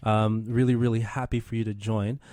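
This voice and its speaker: A man's voice: on the low side, little pitch movement, fairly quick, darkish tone. A man in his thirties hosting a community radio show in a studio, talking casually with many fillers and restarts. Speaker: radio host.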